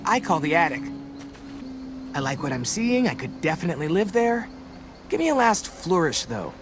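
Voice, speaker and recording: A man's voice: on the high side, big pitch swings, moderate pace. A man playing a wisecracking, dramatic teenage boy survivor in a video game, with an American accent and a playfully theatrical delivery. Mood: excited, joking. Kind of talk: video game voice acting, casual dialogue. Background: background music.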